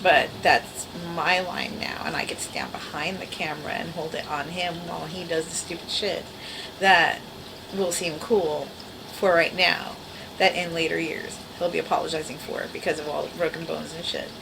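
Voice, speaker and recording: A woman's voice: deep voice, fairly even intonation, moderate pace, creaky, background noise. A woman in her forties with a small, girlish voice, chatting casually and wryly to her camera at home. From the Bronx, New York. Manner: rambling, self-deprecating, wry. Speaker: vlogger. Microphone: camera mic.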